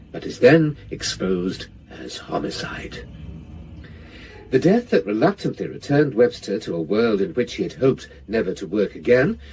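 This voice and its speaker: A man acting as an audiobook reader, narrating a literary detective novel in a measured, portentous manner. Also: fairly low pitch, vocal fry, a little mellow, exaggerated voice, rough recording.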